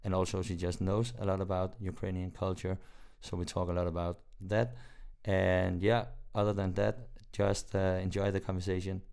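A young man with a Danish accent hosts a casual conversation. His voice is very deep with plenty of vocal fry, and he feels his way through sentences haltingly. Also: flat delivery, a little mellow. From Denmark. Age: 20s. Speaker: podcast host.

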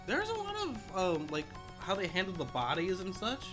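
A man's voice: sits high, huge pitch swings, moderate pace, over music. A man in his thirties, a podcast co-host, talking films casually and jokingly with some swearing. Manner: jokey, laughs often, swears casually. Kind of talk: casual film countdown chat.

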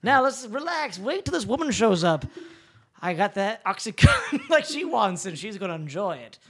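Woman playing a carefree, animated deadbeat dad. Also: mid-range voice, moderate pace. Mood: breezy, eager. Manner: breezy, egging on. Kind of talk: improvised comedy radio play.

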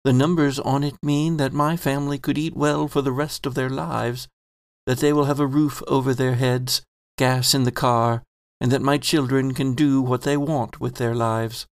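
A man performs a kindly middle-aged landlord speaking his thoughts in a quiet, reflective monologue. The voice sits in a gravelly register, and the recording is dry and close. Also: mid-range voice, flat delivery, moderate pace, no echo, close to an everyday voice. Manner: soft reflective first-person monologue.

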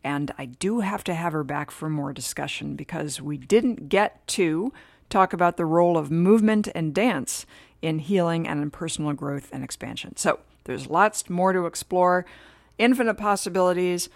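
Low-voiced woman podcast host. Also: moderate pace, gravelly, dry recording, studio quality. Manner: enthusiastic, emphatic list delivery. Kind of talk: scripted intro then casual interview.

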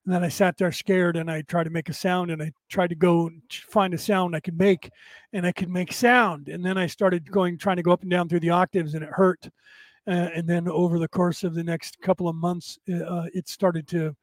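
A man hosting a long spiritual talk show, his voice hoarse and raspy, rambling and emphatic as he talks straight to his listeners. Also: sits high, steady tone, moderate pace, no echo. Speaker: show host. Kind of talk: late-night spiritual talk show monologue.

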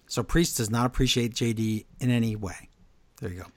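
A man co-hosting a podcast, recapping wrestling shows in an animated, storytelling way and acting out what the wrestlers said. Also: medium pitch, fairly quick, dry recording. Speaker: podcast co-host. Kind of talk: casual wrestling recap chat.

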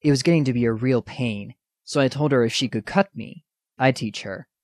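A man playing a gruff, arrogant young master swordsman with an irritable, blunt manner in an anime-style drama. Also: mid-range voice, brisk, darkish tone, dry recording, studio quality, natural voice. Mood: matter-of-fact. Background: music.

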